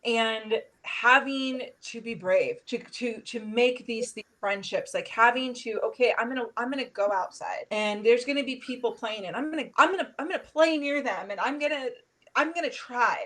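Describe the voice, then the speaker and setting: Woman host and teacher, somewhat high pitch, enthusiastic, lively, quotes people in character. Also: moderate pace. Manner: enthusiastic, animated, acts out quotes. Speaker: interviewer and teacher. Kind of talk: interview conversation.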